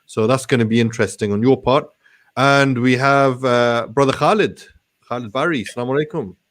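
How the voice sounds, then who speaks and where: A man's voice: mid-range voice, fairly quick, a bit bright. Casual, matey male talk show host. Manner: informal, matey, peppered with Arabic phrases. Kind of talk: casual chat interview.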